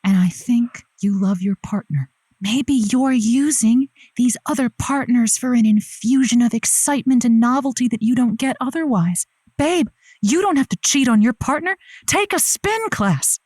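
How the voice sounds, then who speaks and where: A woman's voice: a little high, expressive, normal speed, a little mellow, breathy edge, no echo. A woman playing a caring, folksy, exasperated advice host on a call-in radio show in an audio comedy, coaxing emphatically and repeating her words. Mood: reassuring, animated.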